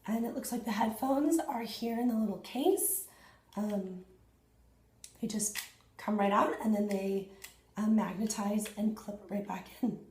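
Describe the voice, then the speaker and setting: A woman in her thirties, hoarse and husky after losing her voice, chatting excitedly to viewers in a casual unboxing vlog at home. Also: medium pitch, measured pace, roomy sound, low-quality recording. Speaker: fitness vlogger. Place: small indoor room at home.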